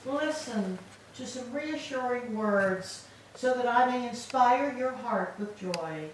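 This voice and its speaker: A woman performs a young captive widow in a Greek tragedy, noble and full of despair. The voice is fairly high and slow, with formal, measured phrasing. It rings in a very echoey hall, heard from a distance. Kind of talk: staged reading of Greek tragedy. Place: church, staged reading. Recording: echoey hall, distant mic, faint noise.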